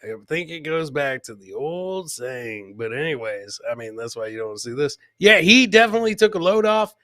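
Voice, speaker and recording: A man's voice: a little high, big pitch swings, moderate pace, fairly bright tone, no echo, studio quality. A man hosting a live comedy clip show, joking and teasing as he comments on videos and repeats his punchlines.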